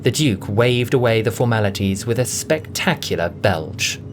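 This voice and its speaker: A man narrating a scripted audio drama as a theatrical storyteller, with deliberate emphasis. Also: fairly low pitch, expressive, noisy background. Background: music.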